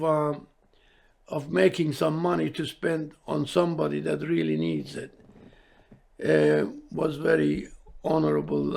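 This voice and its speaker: A husky older man from Iran gives a reflective interview. His voice is full of creak and rasp. He picks his way through sentences haltingly, searching for the next word. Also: a little high, a little mellow. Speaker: service club member interviewed.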